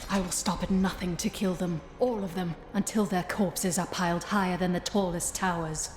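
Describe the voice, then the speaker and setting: Woman as a lowish, echoing fierce warrior. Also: fairly even intonation, moderate pace, natural voice. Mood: fierce, determined. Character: fierce, vengeful female warrior hero. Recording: echoey reverb, faint noise.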